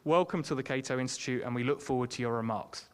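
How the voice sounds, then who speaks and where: A man hosting an event, reading a formal, measured introduction of the guest speaker. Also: medium pitch, heavy background noise.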